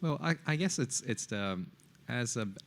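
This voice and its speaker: A middle-aged man whose first language is Japanese, a podcast guest talking in a halting, casual way with many fillers and restarts. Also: medium pitch, expressive, a bit bright. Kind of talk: casual interview talk.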